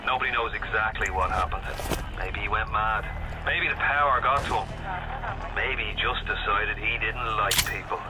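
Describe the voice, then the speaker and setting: A man's voice: on the low side, normal speed. An actor playing a folksy, wry working-class Irishman who guides the player by radio in a video game, talking in colloquial, persuasive urgency. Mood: wry, steady. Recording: very noisy crackling radio transmission.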